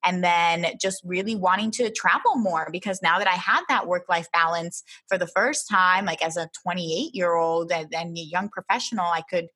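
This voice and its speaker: A woman's voice: lowish voice, normal speed, hardly any pauses, pushed and bright, husky. A woman in her thirties who grew up in Philadelphia, a travel blogger chatting as a podcast guest and thinking aloud with fillers. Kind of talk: casual interview.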